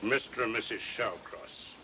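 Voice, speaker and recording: An actor playing a sceptical police inspector, blunt and questioning, in 1940s mystery film style. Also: male voice, fairly low pitch, unhurried, lightly acted. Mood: businesslike. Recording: noisy old film soundtrack.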